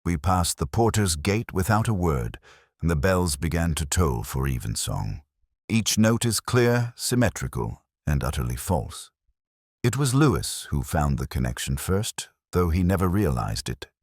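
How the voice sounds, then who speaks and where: A man with an extremely low voice narrates a scripted detective tale. His delivery is measured and brooding, with a literary turn, and his pitch moves expressively. The recording is close and dry. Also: very animated, darkish tone, breathy edge, dry recording. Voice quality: very deep. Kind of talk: scripted first-person detective story narration.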